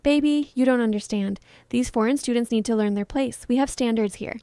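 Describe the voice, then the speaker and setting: Lady doing a snobby teen mean girl in a story, high soft-toned voice, sweet but cruel. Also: brisk, clean tone, no echo, studio quality, lightly acted. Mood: pleading, possessive. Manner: honey-sweet but cutting.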